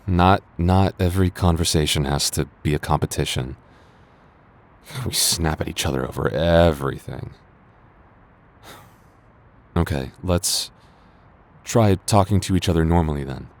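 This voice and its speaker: Man acting a deep-voiced, flustered young lovesick guy. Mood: conciliatory. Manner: halting, stammering, hesitant. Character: young man, flustered, defensive childhood friend secretly in love. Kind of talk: romantic audio roleplay acting.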